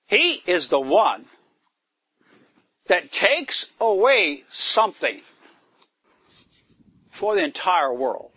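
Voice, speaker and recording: A man's voice: sits high, sing-song, very slow, long pauses, sharp tone, slightly rough, no room sound. A man preaching a sermon to a congregation, rising into emphatic bursts and putting questions to his listeners. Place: church gathering in the woods.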